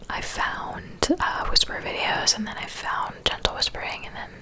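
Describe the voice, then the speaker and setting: A woman in her twenties speaking in a soft, breathy whisper, chatting casually and unhurriedly as an ASMR creator answering viewers. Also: normal speed. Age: 20s.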